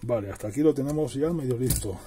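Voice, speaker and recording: A man's voice: medium pitch, fast, background noise. A middle-aged man giving a casual hands-on how-to, explaining plainly step by step. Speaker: presenter of a DIY tutorial. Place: indoors at a worktable.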